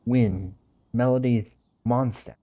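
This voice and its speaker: A man streaming a game live, chatting informally in a hesitant, halting, low-key way. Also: a little hiss or hum. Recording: dull lo-fi mic under game music. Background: game music.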